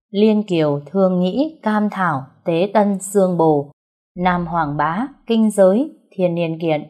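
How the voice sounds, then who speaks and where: A woman's voice: fairly low pitch, darkish tone. A woman voicing a brash, cheeky young female fortune-teller who talks boastfully and colloquially in an audiobook story.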